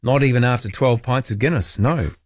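A man's voice: mid-range voice, fairly quick, no room sound, studio quality. A man with a New Zealand accent hosting a late-night phone-in radio show, talking in a casual, colloquial, wry way. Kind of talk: phone-in radio chat and commentary.

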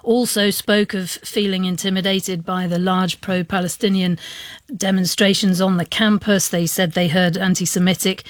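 Woman news interviewer, measured and probing, dry studio sound. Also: on the low side, steady tone, no room sound.

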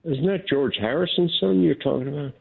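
A man's voice: a little high, a little fast, creaky, breathy edge. A man making a casual call to a radio show over a phone line. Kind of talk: casual chat. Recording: narrow, tinny phone line.

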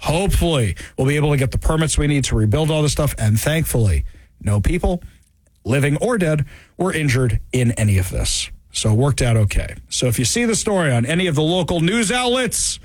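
Animated talk radio man, lively pitch. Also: fairly quick, no room sound. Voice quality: high-pitched laugh. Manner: animated, chatty, wry asides. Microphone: studio microphone.

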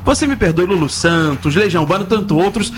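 Animated high-pitched Brazilian male radio host. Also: fast, slight background noise. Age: 30s. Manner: playful, theatrical announcing. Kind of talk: lively radio show hosting. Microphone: studio broadcast mic. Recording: music playing under the voice.

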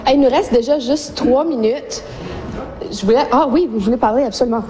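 A woman's voice: fairly high pitch, brisk. A woman with a Canadian French accent casually moderating a group discussion in an echoey room. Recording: roomy echo, faint background noise.

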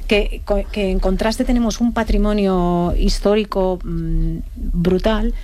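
A woman's voice: medium pitch, steady tone, takes it slowly, mellow. Woman interviewer from Barcelona. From Barcelona, Spain. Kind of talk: conversational interview.

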